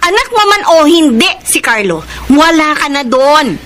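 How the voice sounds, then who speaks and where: A woman's voice: high voice, very animated, measured pace, a little hiss or hum, heavily acted. A woman with a Filipino accent playing a bitter, defiant single mother confronting her former lover in an emotional, scornful radio drama. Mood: bitter, indignant. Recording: loud music bed behind voice.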